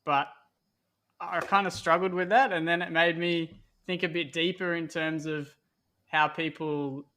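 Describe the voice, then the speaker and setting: An Australian man in his thirties, a podcast guest answering in a relaxed, rambling way with lots of pauses and restarts. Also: on the high side, slight background noise. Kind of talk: casual interview answers.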